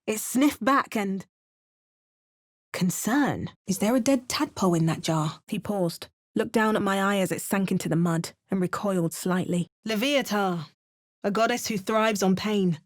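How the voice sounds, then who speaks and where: A woman's voice: medium pitch, unhurried, dry recording, lightly acted. An actress voicing a wary, determined female adventurer hero with a British accent, trading dry asides with storybook narration. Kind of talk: video game character lines.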